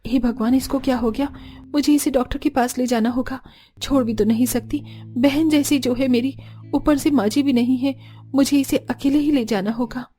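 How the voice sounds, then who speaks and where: A woman voicing a bossy, gruff but caring elder sister-in-law in a cartoon story. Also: high-pitched, steady tone, normal speed, warm tone, faint music behind, lightly acted. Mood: worried. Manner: bossy, brusque. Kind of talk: cartoon voice acting.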